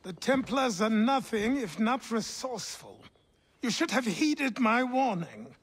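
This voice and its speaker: An actor playing a grim, cynical warrior, measured and distrustful, in a video game drama. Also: male voice, very high-pitched, very animated, slightly rough, full character voice. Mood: reproachful. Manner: measured, sceptical.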